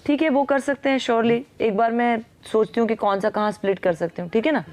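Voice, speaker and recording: A woman's voice: on the high side, steady tone, fairly quick, darkish tone, soft background music. A woman in casual conversation, firmly reasoning out household chores and affirming often. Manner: firm, reasoning, frequent affirmations.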